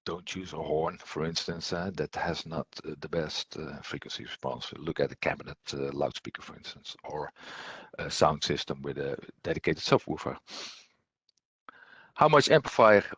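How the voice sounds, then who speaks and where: Low, husky man giving halting technical training. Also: lively intonation, a bit bright, no room sound. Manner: hesitant, halting, with frequent ums and repeated words. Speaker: product training instructor. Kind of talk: technical training presentation, explaining from slides.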